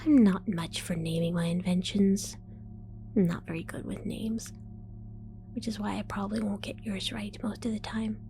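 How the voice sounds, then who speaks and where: A woman voicing a scatterbrained female mad scientist in an ASMR roleplay, whispering softly, giggling and stammering as she falsely reassures. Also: mid-range voice, flat delivery, moderate pace, close to an everyday voice. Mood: sly whisper. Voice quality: soft whisper.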